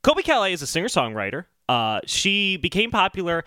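Male podcast co-host, high and bright voice, casual and earnest, rambling as he explains and defends his points. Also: big pitch swings, fairly quick, no echo, clean studio sound. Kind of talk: casual comedic podcast discussion.

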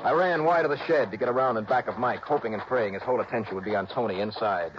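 An actor in a vintage radio drama playing a tough male investigator, calm, clipped and dry. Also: mid-range voice, fast, music in the background, lightly acted. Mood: narrating. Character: tough, cool-headed male private investigator. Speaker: insurance investigator lead. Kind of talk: 1960s radio drama acting and narration. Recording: dull vintage radio broadcast, faint hiss.

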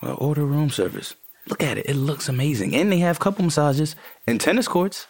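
A man playing an easygoing, gently teasing partner in a naturalistic audio drama, mild and coaxing. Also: a little high, lively intonation, a little fast. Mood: upbeat, coaxing.

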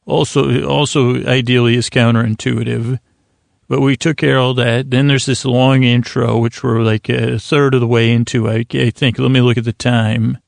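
A male podcast host with a gravelly, creaky edge, recorded close and dry. He delivers a meandering bedtime monologue, halting and doubling back on his phrases in a self-deprecating way. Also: medium pitch, little pitch movement, no room sound. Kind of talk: rambling bedtime monologue, meant to lull.

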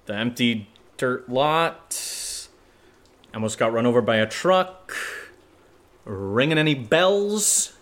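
A man playing a nervous, sarcastic young man in a horror audio drama, speaking in halting, rambling bursts with sardonic asides. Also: medium pitch, animated, a bit bright. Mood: flustered, sarcastic.

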